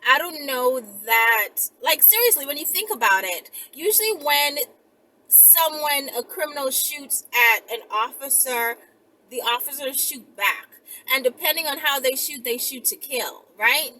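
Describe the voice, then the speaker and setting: A woman's voice: high-pitched, lively intonation, unhurried, sharp tone, a little hiss or hum, low-quality recording. A woman video host chatting casually and rambling to viewers in a blunt, emphatic, profane, conversational way.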